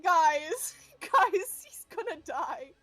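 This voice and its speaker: A woman's voice: very high voice, expressive, extremely slow, bright, forceful tone, no echo, faint music behind. A woman playing a tabletop roleplaying game, chatting casually with a dramatic, pleading way of talking. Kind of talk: casual group game chat.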